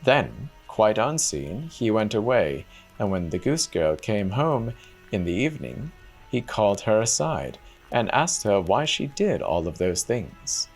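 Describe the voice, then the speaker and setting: Male storyteller, deep and slow, dramatic fairy tale narration over faint music. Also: sing-song.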